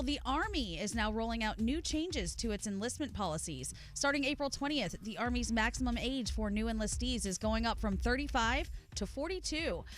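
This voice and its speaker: Woman, fairly high voice, radio news reader, crisp wry delivery, studio mic. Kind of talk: reading headline news.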